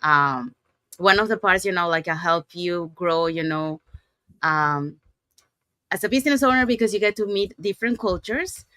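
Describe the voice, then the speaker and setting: A woman's voice: fairly low pitch, moderate pace, pushed and bright, no echo. A woman hosting an interview over a video call, talking casually with many fillers. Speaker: host.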